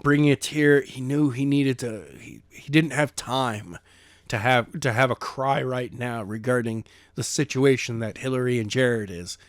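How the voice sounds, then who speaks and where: A man's voice: medium pitch, steady tone, measured pace, no room sound, studio quality. A man co-hosting a podcast, chatting casually about a book chapter and playfully acting out the characters. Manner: playful, acts out characters in silly voices. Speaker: podcast co-host, narrator.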